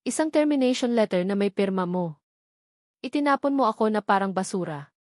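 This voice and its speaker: A woman's voice: mid-range voice, lively intonation, fairly quick, a little mellow, no echo, clean studio sound, close to an everyday voice. Woman acting a hurt, bitter single mother. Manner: emotional, accusing. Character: wronged tearful single mother, former secretary, pleading and bitter.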